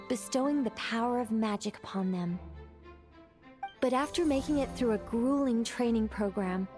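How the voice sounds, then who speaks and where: Game mage storyteller, woman actor: young, lightly high-pitched, poised, playful, theatrical narration, faint music behind. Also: darkish tone, lightly acted. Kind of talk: video game voice acting, dramatic narration.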